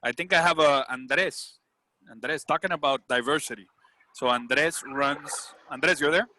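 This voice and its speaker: A man's voice: on the high side, measured pace, bright, forceful tone, dry recording. A man with a Puerto Rican accent talking earnestly in a casual group discussion, often checking that listeners agree. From Puerto Rico. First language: Spanish. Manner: earnest, seeks agreement with "right?" and "you know". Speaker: business executive leading a group discussion. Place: video call.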